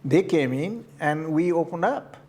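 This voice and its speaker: An older man with an Indian accent, answering interview questions in a halting way, often repeating words as he searches for them. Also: on the high side, lively intonation, darkish tone. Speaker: community board candidate.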